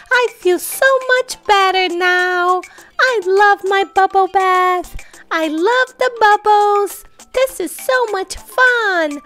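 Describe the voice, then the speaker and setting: Bratty, high-pitched cartoon baby boy voiced by a woman. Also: fairly bright tone, full character voice. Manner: whiny, taunting baby talk with repeated phrases. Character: bratty, excitable superhero baby boy, mischievous toddler.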